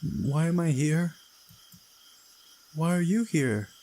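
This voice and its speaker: Man voicing a higher-pitched, bewildered young guy. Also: mellow. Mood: shocked, confused. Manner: confused, halting. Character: groggy, bewildered young man at a summer camp.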